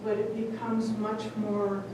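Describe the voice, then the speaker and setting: A woman in the audience offering a thoughtful, halting comment at a parish talk. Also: medium pitch, completely flat delivery. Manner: halting, thoughtful, many pauses. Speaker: audience member. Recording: echoey hall, distant mic, noisy.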